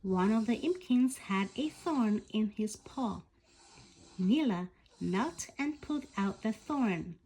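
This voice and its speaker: A woman reading a storybook aloud as scripted narration, in an expressive, animated way. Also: mid-range voice, takes it slowly, husky, poor audio. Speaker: bedtime story reader.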